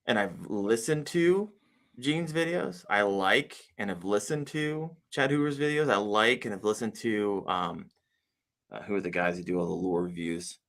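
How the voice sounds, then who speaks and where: A man speaks as a guest on a live podcast in a low voice with a bright, forceful edge. He talks earnestly and emphatically, like a coach laying out advice. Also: moderate pace. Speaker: podcast guest, kayak fishing YouTuber. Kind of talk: casual live podcast interview.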